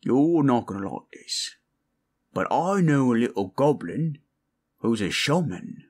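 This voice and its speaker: A man's voice: medium pitch, very animated, a little slow, a little mellow, slightly rough. A man improvising a fantasy ASMR roleplay in the style of a game master. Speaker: roleplay audio performer.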